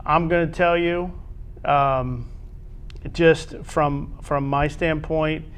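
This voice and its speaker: Casting: American male host with a somewhat higher voice, informal chat style, interviewing a colleague. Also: steady tone, takes it slowly, a bit bright, clean tone. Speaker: interview host, athletic administrator. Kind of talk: casual conversational interview.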